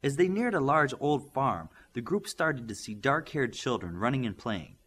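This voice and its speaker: A male audiobook narrator reads scripted fiction at a middling pitch. His delivery is very varied in melody, with a slightly husky edge to the voice. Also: expressive, moderate pace, a bit bright.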